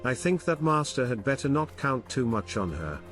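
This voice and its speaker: A man voicing a calm, loyal young manservant who speaks with formal, deferential politeness in an audiobook. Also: mid-range voice, normal speed, soft background music.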